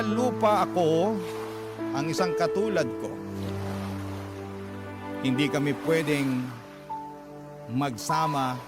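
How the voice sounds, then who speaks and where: A male radio drama narrator reads a love letter as a personal confession. His fairly high voice is anguished and sentimental, lingering on pauses. Music is loud throughout behind him. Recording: prominent music bed.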